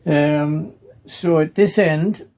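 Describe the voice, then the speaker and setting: A man gives a casual, unscripted show-and-tell in a halting, hesitant way, often pausing mid-sentence. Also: on the high side, darkish tone. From New Zealand. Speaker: amateur radio operator, presenter. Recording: noisy amateur home video.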